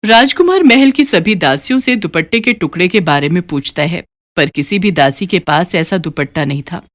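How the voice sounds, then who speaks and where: A woman narrating a scripted animated moral story in an expressive storytelling manner. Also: lowish voice, normal speed.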